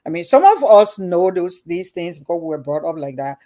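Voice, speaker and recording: A woman's voice: lowish voice, very animated, dark tone. Woman host, earnest, lots of ums and restarts, chatty interview. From Liberia. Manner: earnest, halting, many fillers. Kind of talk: casual interview chat.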